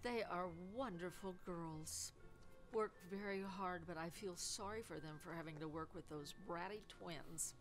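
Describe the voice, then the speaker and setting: An older woman with a slightly low voice plays a grandmother in a comedy sketch. She speaks calmly and matter-of-factly. Faint music plays underneath. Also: unhurried.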